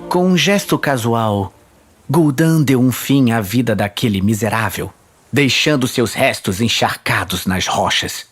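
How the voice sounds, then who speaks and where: A man's voice: mid-range voice, measured pace, husky. A man with a Brazilian accent reading scripted fantasy narration in a dramatic storytelling style. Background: faint music.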